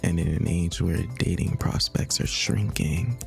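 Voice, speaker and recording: A man playing a sweetly menacing, obsessive stalker in a comic audio roleplay, soft, breathy and matter-of-fact. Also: low voice, measured pace, soft background music, full character voice. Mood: chatty, creepy. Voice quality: breathy, whispery.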